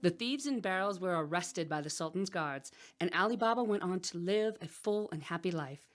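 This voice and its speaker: Woman as low-voiced soothing storyteller queen. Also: expressive, normal speed, soft background music, close to an everyday voice. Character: soothing storyteller queen addressing her Sultan. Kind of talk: storybook narration in a radio play.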